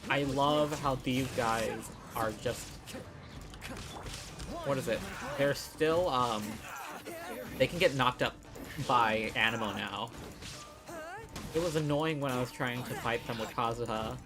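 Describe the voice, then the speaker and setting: A man streaming a game with casual, halting commentary, tossing in reactive asides. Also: mid-range voice. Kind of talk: casual live gameplay commentary. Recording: game music under the voice.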